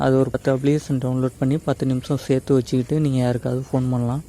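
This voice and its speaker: A man's voice: medium pitch, little pitch movement, quick pace, mellow, a little hiss or hum. A man gives a casual step-by-step tutorial, addressing viewers directly and mixing in English words. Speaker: tech tutorial host.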